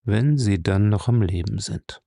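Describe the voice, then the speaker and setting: A man voicing a grim, brutal captain, curt, gruff and threatening, in an audiobook. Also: on the low side, a little slow, warm tone, dry recording, clean studio sound. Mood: menacing. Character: grim, brutal captain, a menacing male interrogator. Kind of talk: audiobook character acting.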